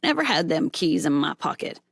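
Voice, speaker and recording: A woman's voice: sits low, big pitch swings, normal speed, gravelly, breathy edge, dry recording, clean studio sound, full character voice. A woman voicing a quarrelsome male robber underling who complains and snaps back defensively. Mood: complaining.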